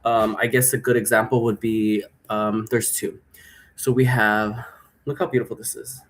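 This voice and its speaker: A man's voice: fairly low pitch, flat delivery, normal speed. A man hosting a solo vlog, talking in a chatty, informal, meandering way. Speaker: host. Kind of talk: casual rambling solo vlog. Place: indoor room at home.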